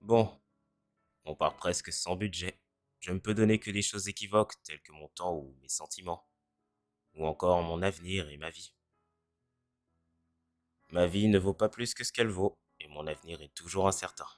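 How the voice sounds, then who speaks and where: A performer voicing a cynical, self-deprecating teenage boy in a French fan dub who speaks in a deadpan way. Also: low voice, normal speed, long pauses, dry recording, lightly acted. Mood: earnest. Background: faint music.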